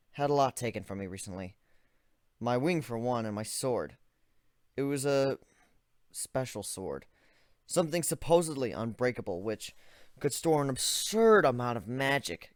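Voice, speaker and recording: A man's voice: medium pitch, sing-song, measured pace, pauses often, no room sound, studio quality. A man voicing a young griffin inventor, analytical and weary, in a dramatic story reading. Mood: weary, downcast. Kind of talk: dramatic reading of first-person fan fiction.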